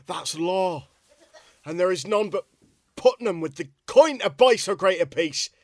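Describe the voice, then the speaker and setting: A man playing an outraged, earnest farmer making a deliberate accusation in a period courtroom drama. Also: sits high, animated, a little mellow, no room sound, studio quality, heavily acted. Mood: indignant, accusing.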